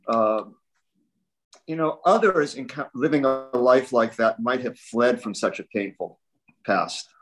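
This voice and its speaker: A man's voice: medium pitch, a little slow, rough recording. An older man interviewing an author on a video call, talking conversationally in long, admiring, rambling questions. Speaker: interviewer, event host. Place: book-lined home library, indoors. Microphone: video call computer mic.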